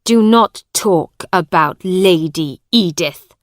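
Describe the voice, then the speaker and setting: A woman's voice: on the low side, animated, drawn-out words, smooth, no room sound, clean studio sound. A woman reading a storybook, voicing a harsh, angry man who gives orders. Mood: stern. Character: harsh, angry grown man, a usurping brother.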